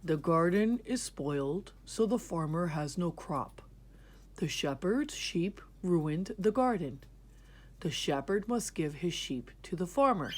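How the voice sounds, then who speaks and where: A woman voicing a fair, patient king in a children's story, deliberate and measured. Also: fairly low pitch, lively intonation. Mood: grave. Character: fair, patient king, thoughtful adult man.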